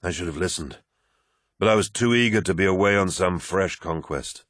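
A man voicing a dying ancient male warlord who speaks in a rasping, wheezing voice. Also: low-pitched, moderate pace, fairly bright tone, no room sound, clean studio sound. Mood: weary, grave.

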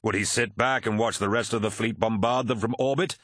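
An imperious warlord of superhuman warriors, played by a male audiobook reader, wrathful and forceful, often lifting above the narrator's register. The delivery is dramatic and commanding, captured very dry and close. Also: lowish voice, fairly even intonation, fairly quick, dry recording, voice pushed up. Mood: angry. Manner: forceful, imperious, snapping and roaring. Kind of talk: dramatic audiobook character acting.

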